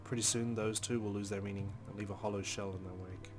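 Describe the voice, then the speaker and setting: A man with a fairly low voice narrates a visual novel in the first person. His reading is expressive and deliberate, with dramatic phrasing. Faint music plays behind him. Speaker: let's play host reading game narration.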